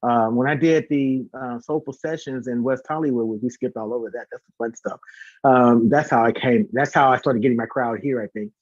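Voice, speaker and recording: A man's voice: medium pitch, fairly even intonation, fairly quick, dry recording, muffled recording. A man chatting casually and informally as an interview guest, talkative and prone to repeating words for emphasis. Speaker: guest, singer and concert organizer.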